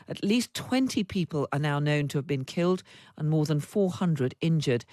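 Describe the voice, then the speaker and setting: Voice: mid-range voice, darkish tone, no echo, clean studio sound. A news reader delivering scripted narration of a report.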